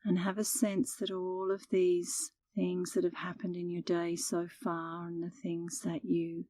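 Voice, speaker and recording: Low-pitched woman guiding a calm meditation. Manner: calm, soothing, unhurried. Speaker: meditation instructor. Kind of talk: guided meditation narration.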